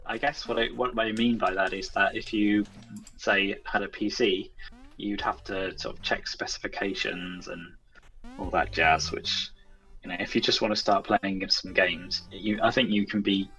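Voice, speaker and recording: A man's voice: fairly low pitch, fairly even intonation, moderate pace, bright, forceful tone, faint music behind, low-quality recording. A man chatting casually as an interview guest, in a modest, tentative way. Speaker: guest, indie game developer. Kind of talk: casual chat.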